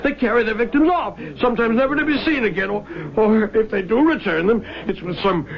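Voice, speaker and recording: An actor playing a timid, anxious young bridegroom in an old-time radio drama, hesitant and stammering. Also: male voice, high voice, brisk, gravelly. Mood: panicked, distraught. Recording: dull lo-fi 1970s radio broadcast.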